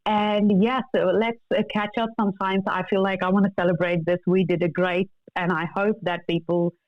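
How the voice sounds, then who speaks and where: A woman's voice: medium pitch, level tone, brisk, no room sound. Woman guest on a phone-like connection. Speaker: love coach, podcast guest. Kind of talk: conversational interview, motivational. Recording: thin phone-like call audio.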